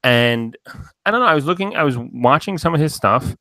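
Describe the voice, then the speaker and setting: A man's voice: a little high, animated, fairly quick, bright, forceful tone, no echo. A man from New Jersey hosting a podcast, rambling in loose casual chat with lots of fillers and swearing. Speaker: podcast host.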